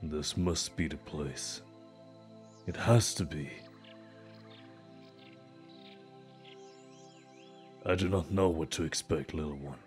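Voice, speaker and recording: A man playing a desperate, grieving father who pleads humbly and haltingly in a fantasy audio drama. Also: extremely low pitch, soft background music. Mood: wary, hushed. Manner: slow, halting, earnest pleading.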